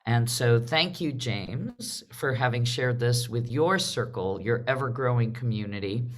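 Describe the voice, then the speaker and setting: A woman casually hosting a live online theatre event on a video call, talking in halting phrases and checking in with her listeners. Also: a bass voice. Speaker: host of a live theatre discussion.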